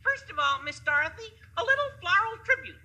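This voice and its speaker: Squeaky, piercing little Munchkin. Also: big pitch swings, fairly quick, bright, forceful tone, exaggerated voice. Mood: ceremonious, festive. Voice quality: squeaky, piping. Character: excitable, ceremonious little Munchkin with tiny piping voice. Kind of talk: 1950s radio play acting. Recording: old radio broadcast with music behind.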